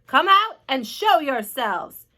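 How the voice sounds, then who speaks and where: A woman's voice: mid-range voice, unhurried, bright, forceful tone. A woman voicing a panicky male chief of police in a storybook, alarmed, exclamatory and commanding. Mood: commanding hail.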